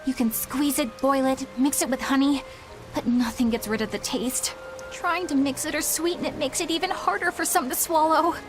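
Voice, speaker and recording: Uma atriz interpretando uma jovem exorcista sincera, educada e formal. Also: female voice, high voice, fairly even intonation, moderate pace, music in the background, lightly acted. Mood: earnest. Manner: polite, formal, earnest. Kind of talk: game dub acting.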